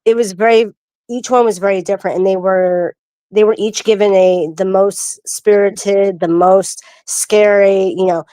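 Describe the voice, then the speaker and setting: A woman's voice: mid-range voice, fairly even intonation, measured pace, warm tone, dry recording. Chatty woman on a video call. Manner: chatty, trailing restarts. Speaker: guest on the live chat. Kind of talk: casual chat.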